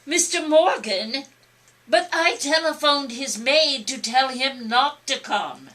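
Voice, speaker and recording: A woman's voice: sits high, slow pace, bright, forceful tone, heavily acted. A woman acting an imperious, easily exasperated society hostess and mother in a theatrical, scolding manner at a play table reading. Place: library room. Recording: background noise, live room reading.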